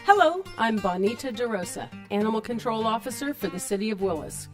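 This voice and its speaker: A woman with an American accent and a fairly high voice reads a radio promo. Her delivery is formal and scripted, like a public official's announcement. Music plays behind her. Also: expressive, brisk. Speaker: city animal control officer. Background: music bed.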